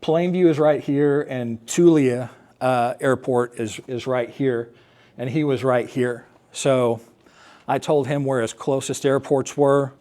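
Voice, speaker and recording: An American man giving a personal talk, recounting his experience as an air traffic controller in a halting, explanatory way with pauses. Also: medium pitch, fairly even intonation, mellow. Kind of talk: personal storytelling talk to an assembly.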